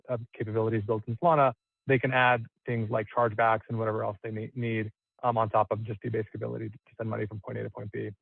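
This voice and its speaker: A man's voice: mid-range voice, gravelly, dry recording, phone-quality sound. A man speaking as a company executive, giving unscripted answers on an earnings call. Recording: band-limited conference call audio, dry.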